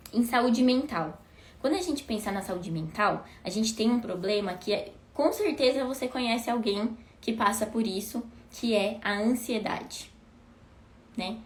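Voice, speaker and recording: A woman in her thirties talking casually to viewers, asking herself questions and answering them. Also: a little high. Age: 30s. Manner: conversational, asks rhetorical questions. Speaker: host. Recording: echoey room with faint noise.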